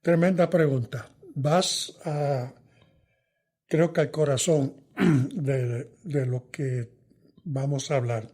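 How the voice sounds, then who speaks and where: Older man, husky voice, musing. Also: medium pitch, slow pace. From New York. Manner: thoughtful, hesitant, trails off softly. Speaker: veteran journalist guest. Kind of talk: reflective interview answers.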